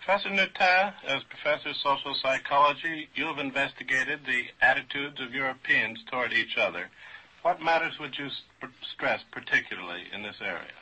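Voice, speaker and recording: A man's voice: mid-range voice, moderate pace, bright, forceful tone, husky. A man moderating a radio panel, putting questions to his guests in a formal, courteous way. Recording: dull, lo-fi old radio recording.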